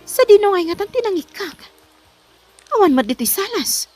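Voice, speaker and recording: Casting: actress as imperious, short-tempered female employer, Filipino accent, high and very lively delivery, comedy drama. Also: moderate pace, soft background music. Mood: bossy, scolding. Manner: scolding, imperious. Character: haughty, bossy, quick-tempered woman employer of a housemaid.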